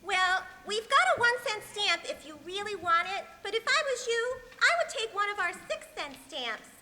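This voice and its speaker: Very high-pitched ditzy wife. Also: female voice, sing-song, moderate pace, full character voice. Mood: breezy, chatty. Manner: bright, innocent, chatty. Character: cheerful scatterbrained young wife, comic ditz. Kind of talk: 1940s radio comedy acting on stage. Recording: echoey hall stage, faint noise.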